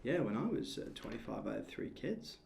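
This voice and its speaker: A man's voice: fairly low pitch, sing-song, a little fast, gravelly, a little mellow, a little hiss or hum. A man of twenty-five co-hosting a podcast, talking casually and hesitantly with many fillers and laughs. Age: 20s. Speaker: podcast co-host. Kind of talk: casual chat.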